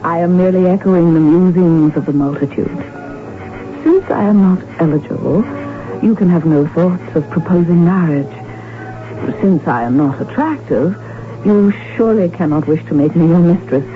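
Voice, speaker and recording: An actress playing a witty, outspoken countess with a formal, precise manner, in the style of radio drama. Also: female voice, fairly low pitch, normal speed, natural voice. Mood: wry, pointed. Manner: formal, arch, precise diction. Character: witty, outspoken countess from an impoverished noble house. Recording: muffled old radio broadcast, music behind. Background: music.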